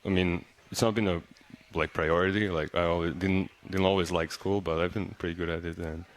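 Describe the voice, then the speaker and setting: A young man, a native Serbian speaker, talking in a relaxed interview as a college basketball player, with lots of fillers and restarts. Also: deep voice, fairly quick, rough recording. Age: young adult. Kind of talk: casual interview.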